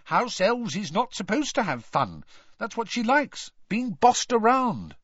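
A man's voice: sits high, wildly swooping pitch, measured pace, fairly bright tone, dry recording, dull audio, pitched above the performer's own voice, exaggerated voice. A man voicing an easygoing teenage boy wizard who talks in an offhand, blustery way. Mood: dismissive.

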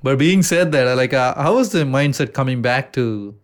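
A man with an Indian accent hosting a casual podcast chat, talking loosely with frequent swearing and halting restarts. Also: mid-range voice, animated. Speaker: podcast host.

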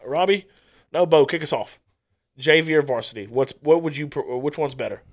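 A man hosting a podcast, loud, profane and laughing through casual banter. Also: fairly high pitch, moderate pace. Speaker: podcast host.

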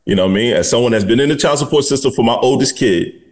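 A man's voice: mid-range voice, rapid-fire, a little mellow. A man in his forties hosting a podcast, talking bluntly and candidly in casual conversation and swearing freely. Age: 40s. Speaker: podcast host.

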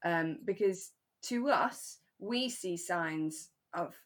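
A woman's voice: lowish voice, animated, slow pace. A woman co-hosting a podcast, chatting casually and reflectively about a radio drama, often seeking agreement. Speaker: podcast co-host.

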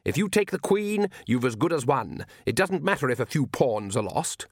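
A man's voice: mid-range voice, very animated, normal speed, no room sound, studio quality. A man voicing a suave, smug vampire count, a storybook villain with a silky, condescending manner.

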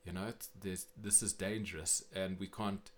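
Deep-voiced South African man. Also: flat delivery, normal speed, a little hiss or hum. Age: middle-aged. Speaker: podcast guest. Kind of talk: conversational interview talk.

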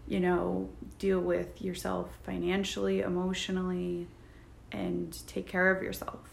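A woman's voice: on the low side, monotone, unhurried, vocal fry. A young woman co-hosting a casual podcast, talking in a thoughtful, reflective way. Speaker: podcast co-host. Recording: echoey room with faint noise.

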